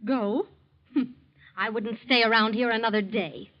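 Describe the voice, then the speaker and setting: A young wife in a vintage radio drama, proud and quick to bristle. Her voice sits fairly high and presses for answers. The recording is old and dull. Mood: offended. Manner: pressing, easily offended.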